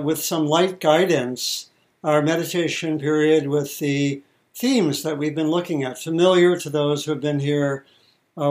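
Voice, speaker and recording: A man leads a guided meditation as a teacher. His voice sits a little higher than most men's. He speaks very slowly, in short measured phrases, leaving pauses between instructions.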